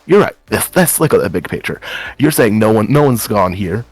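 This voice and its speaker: A man's voice: lowish voice, animated, fairly quick, slightly rough, dry recording. Guy acting as an angry demon slayer, stammers and swears, upset, anime roleplay, music behind. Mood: furious. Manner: stammering, repeats words, swears. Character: angry, distraught, foul-mouthed male demon slayer. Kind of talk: improvised anime roleplay argument in a game.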